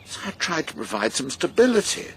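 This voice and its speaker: Radio drama: proud, cornered older English husband, halting delivery, highly animated intonation, faint noise behind. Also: male voice, rough recording. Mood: worried. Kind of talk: naturalistic radio drama acting.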